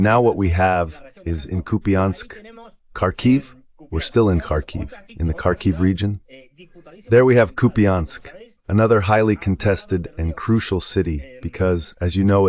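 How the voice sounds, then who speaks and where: A man's voice: deep voice, moderate pace, darkish tone. A man giving explanatory, conversational commentary on a war as a guest analyst in a dubbed live talk.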